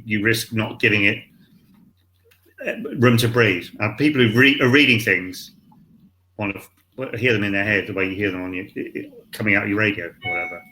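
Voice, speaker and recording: A man's voice: fairly low pitch, rough recording. A male scriptwriter on an online panel, talking candidly and self-deprecatingly, swearing and acting out his thoughts. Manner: animated, swears, acts out his own thoughts. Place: online panel, home set-up. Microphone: video call.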